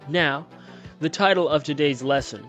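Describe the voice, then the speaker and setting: A man's voice: a little high, moderate pace, a bit bright, faint music behind. A man voicing a smiling male television preacher who sermonizes in a sing-songy cadence. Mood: fervent. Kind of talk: storybook reading.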